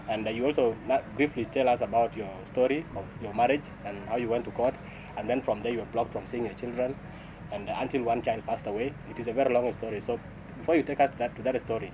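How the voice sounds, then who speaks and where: Male host over clear street noise. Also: mid-range voice. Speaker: interview show host. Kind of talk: conversational interview hosting. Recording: outdoor traffic noise behind voice.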